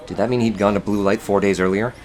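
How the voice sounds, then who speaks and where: A man's voice: fairly low pitch, steady tone, fast. A man reading scripted fiction as the narrator of an audiobook.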